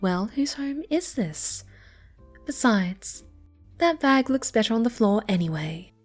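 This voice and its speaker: A woman playing a snobby, pouty young cat girl with a soft side in an ASMR roleplay. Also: on the high side, sing-song, slow pace, faint music behind, exaggerated voice. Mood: bratty, disdainful. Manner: haughty, pouty, dismissive.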